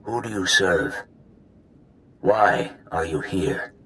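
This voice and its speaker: A man's voice: low voice, lively intonation, slow pace, pushed and bright, exaggerated voice. An actor playing an ancient, weary male prisoner in a video game, solemn and secretive, telling his story with grave deliberation. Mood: wary. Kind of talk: dramatic video game acting.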